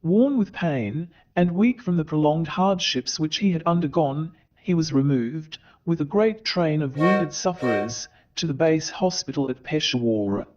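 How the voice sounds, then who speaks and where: Higher-pitched man narrating theatrically. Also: animated, measured pace, hardly any pauses, lo-fi sound, music in the background. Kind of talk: theatrical scripted story narration. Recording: crowd sound behind the voice.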